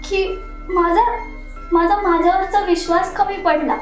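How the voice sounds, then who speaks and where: A woman's voice: very high voice, fairly even intonation, unhurried. An actress playing a hurt and angry young woman commuter in a stage monologue, speaking in a bitter, accusing way. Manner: bitter, accusing, rising to anger. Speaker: actor in a one-act monologue. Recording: very echoey room, music underneath.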